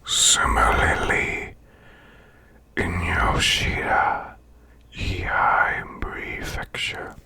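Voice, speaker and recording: A man voicing a male demon boss in an ASMR bedtime reading, with a breathy, rasping, growled whisper and slow, deliberate storytelling. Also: pushed and bright, full character voice. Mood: hushed, soothing. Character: male demon boss, growling while lulling listener to sleep.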